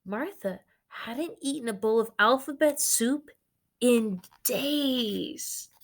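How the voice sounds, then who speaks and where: A woman teacher reading a story aloud to students in an expressive, instructive manner. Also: on the high side, takes it slowly. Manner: expressive, instructive, addressing children.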